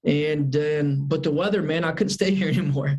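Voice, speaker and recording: Upbeat thirty-something guy, bit high voice, repeats stuff for emphasis. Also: moderate pace, darkish tone, husky, poor audio. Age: 30s. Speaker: sales manager guest. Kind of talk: casual interview chat.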